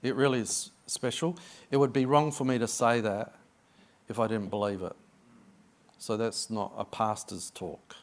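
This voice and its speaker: A man's voice: fairly low pitch, fairly even intonation, measured pace. A middle-aged Australian man, a guest pastor, preaching a sermon in an effusive, chatty way with personal stories.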